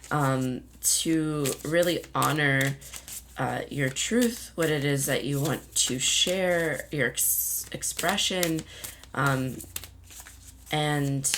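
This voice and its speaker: A woman hosting a spiritual card reading in a gentle, meandering way, often searching for words, with a voice that keeps going in and out. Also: low voice, background noise. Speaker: intuitive card reader and channel host.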